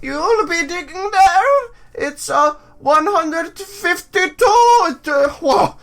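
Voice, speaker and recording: A man's voice: very high voice, lively intonation, takes it slowly, creaky, fairly bright tone, pitched above the performer's own voice, exaggerated voice. A man voicing a young female student guide from a dating sim, explaining in stretched-out words.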